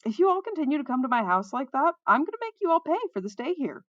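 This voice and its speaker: A woman's voice: high voice, big pitch swings, fast talker, a bit bright, no room sound, highs cut off, pitched above the performer's own voice. A woman voicing a sensible storybook healer, wry and tolerant, who chides and sighs as she talks. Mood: weary, irritated. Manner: sighing, wry, reproving.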